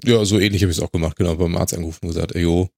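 A man still a bit sick with a lingering cough, chatting casually and quickly agreeing. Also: low voice, fairly even intonation, gravelly, a little mellow, dry recording, clean studio sound. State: recovering from illness, slight cough. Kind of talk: casual chat.

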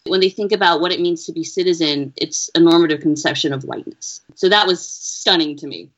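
Earnest woman explaining, slightly lower voice. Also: brisk. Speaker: education researcher, interview guest. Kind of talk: explanatory interview answers. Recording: dull lo-fi audio.

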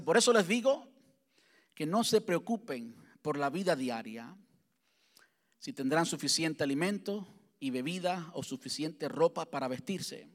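A man from Cuba with a Cuban Spanish accent, preaching a sermon as pastor and driving his points home with rhetorical questions and repetition. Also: a little high.

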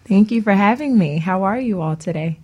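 A woman's voice: mid-range voice, lively intonation, fairly quick, dark tone, slight background noise. A woman speaking casually and candidly as a guest on a talk show. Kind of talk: casual conversation.

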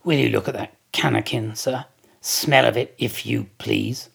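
Blustering, gravelly sailor, acted by a male reader. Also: medium pitch, fairly even intonation, a little slow, fairly bright tone, full character voice. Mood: indignant. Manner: mocking, theatrical, emphatic. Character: blustering, sarcastic, indignant whaling ship's second mate. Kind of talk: dramatised classic novel reading.

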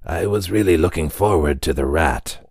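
A man voicing a skeletal goth man with glowing green eyes and a rasping voice, who speaks slowly and formally. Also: extremely low pitch, darkish tone, dry recording, studio quality, full character voice. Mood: disappointed. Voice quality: raspy.

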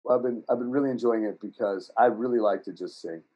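A man chats about music in a loose, rambling way, his voice husky and a little breathy. He swears freely and laughs often as he talks through his thoughts. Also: fairly low pitch, fast talker, mellow, highs cut off. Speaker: musician guest. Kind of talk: casual podcast chat.

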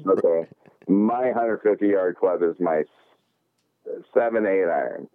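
A man's voice: low-pitched, measured pace, no room sound. 40s guy on a tinny phone line, cussing and ribbing his buddies, dry sound. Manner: profane, joking banter. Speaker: regular co-host on a call-in.

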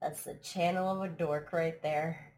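A woman's voice: deep voice, fairly even intonation, a little slow, a little mellow. A woman hosting a casual live stream, chatty and laughing often, her voice a little throaty from allergies. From United States. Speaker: live stream podcast host. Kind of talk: casual chat. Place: at home abroad, live stream.

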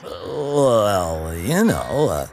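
A man playing a sheepish, halting underling in a cartoon demo. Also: lowish voice, sing-song, crowd noise, exaggerated voice. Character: hesitant, dim, low-voiced underling. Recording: music bed under the voice.

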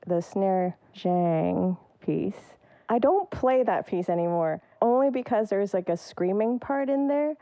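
A woman's voice: mid-range voice, animated, measured pace, warm tone, no echo. A woman percussionist giving thoughtful, searching answers in an interview. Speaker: percussionist guest.

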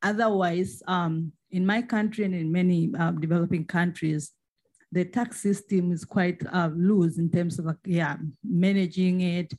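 A woman with a Tanzanian accent asking a question and commenting at a seminar, with hesitant, pause-filled phrasing. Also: lowish voice, fairly even intonation, a little mellow. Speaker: social protection expert, audience member.

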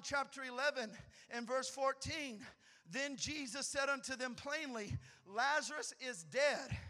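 A man preaching a sermon in a church, moving from quiet teaching to shouted, fervent prayer. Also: very high voice. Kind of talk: sermon with altar call prayer. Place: church sanctuary.

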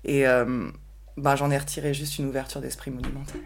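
A woman's voice: extremely low pitch, flat delivery, fairly quick, creaky, slight background noise. A woman talking casually and personally as a podcast guest. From grew up between Bordeaux, France and New York.

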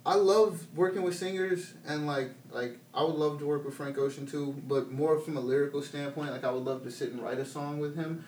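A man's voice: a little high, steady tone, a little fast, few pauses, darkish tone. A man talking as an interviewed musician in casual, slangy conversation. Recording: echoey, noisy room, distant mic.

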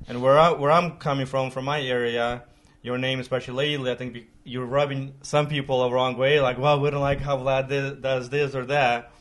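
Flat-toned casual podcast host man. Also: medium pitch. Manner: rambling and informal, with many fillers and restarts. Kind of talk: casual conversational interview.

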